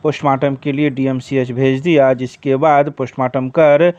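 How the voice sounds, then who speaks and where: A man's voice: medium pitch, level tone, normal speed, darkish tone, no room sound, lo-fi sound. A man reading scripted local news reports as a news reader.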